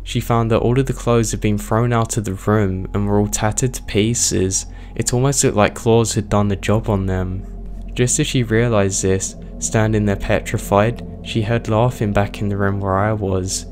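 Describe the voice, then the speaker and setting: A man with a strained, hoarse voice, reading true horror stories aloud as the host of his channel at a steady pace. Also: lowish voice, normal speed, faint music behind. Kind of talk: scripted horror story narration.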